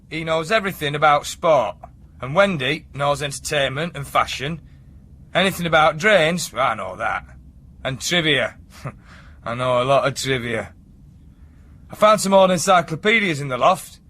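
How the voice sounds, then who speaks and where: Blunt Yorkshire bloke, highish voice. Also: male voice, expressive, moderate pace, long pauses, bright, forceful tone. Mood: chatty, bossy. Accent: Northern English, Yorkshire. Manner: matter-of-fact, bossy, plain-spoken. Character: blunt, domineering working-class drain-cleaner boyfriend. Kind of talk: radio drama acting.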